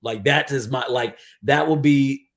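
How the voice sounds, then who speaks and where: A man in his forties talking casually as a podcast guest, emphatic, with halting false starts and some swearing. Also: mid-range voice, gravelly. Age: 40s. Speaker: podcast guest, DJ and aspiring filmmaker. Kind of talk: casual interview chat.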